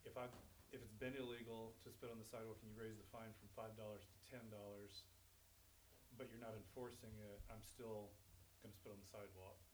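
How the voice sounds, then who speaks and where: A man's voice: lowish voice, flat delivery, a little fast, a little hiss or hum, low-quality recording. A man giving a blunt, argumentative public comment at a village meeting, with some restarts. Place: village council meeting room. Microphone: speaking without a mic, picked up by a room mic.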